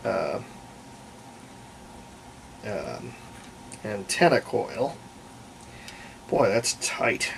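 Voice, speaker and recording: Man, gravelly fry, casual workbench commentary, unscripted thinking aloud, noticeable background noise. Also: medium pitch, low-quality recording. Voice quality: gravelly, creaky. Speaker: hobbyist restorer presenting a repair video.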